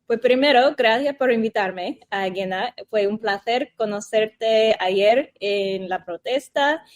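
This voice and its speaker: A woman's voice: a little high, slow pace. An American woman whose first language is English, answering interview questions in a second language, hesitant and searching for words. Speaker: interview guest.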